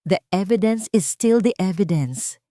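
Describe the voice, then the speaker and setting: A woman voicing a controlled, calculating wealthy father in an audio drama, measured, terse and unyielding. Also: mid-range voice, expressive, warm tone, no echo, clean studio sound, lightly acted. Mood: firm, unflinching.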